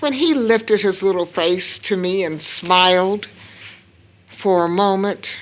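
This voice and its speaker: A woman playing a grieving, proud widowed mother in an audio drama, telling her story solemnly with weighted pauses. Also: medium pitch, natural voice. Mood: troubled.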